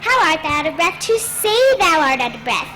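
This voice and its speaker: A woman's voice: high-pitched, big pitch swings, moderate pace. A woman playing an impatient, lovesick young girl in a Shakespearean stage scene, pleading theatrically. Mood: eager, impatient.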